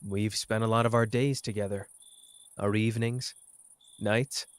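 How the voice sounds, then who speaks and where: A man's voice: fairly low pitch, steady tone, measured pace, smooth, dry recording, lightly acted. Guy voicing a cocky but tender young sorcerer, asmr roleplay, takes his time, dead dry sound. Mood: awkward, sincere. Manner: informal, hesitant when talking about feelings. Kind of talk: intimate roleplay audio acting.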